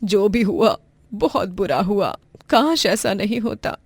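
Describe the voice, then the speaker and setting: A woman's voice: mid-range voice, sing-song, slow, husky, no room sound, exaggerated voice. A woman voicing a doting, plain-spoken elderly village mother in a cartoon story, with rustic motherly speech. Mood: sorrowful.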